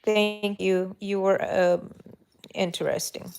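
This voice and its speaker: A woman's voice: mid-range voice, little pitch movement, slow, vocal fry, a little mellow, clean tone, soft background music. A woman from Texas hosting a casual live crochet stream from her bedroom, chatty and thinking aloud as she works and talking to her yarn. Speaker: crochet channel host. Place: bedroom at home.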